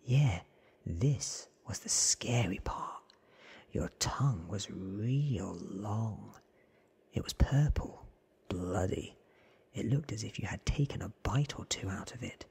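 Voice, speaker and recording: A man reads as an earnest young storybook friend, awed by what he tells. The voice is an extremely breathy whisper, slow and drawn out, in close ASMR style. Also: fairly low pitch, expressive, exaggerated voice. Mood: awed, eerie. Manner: hushed, drawn-out, earnest telling.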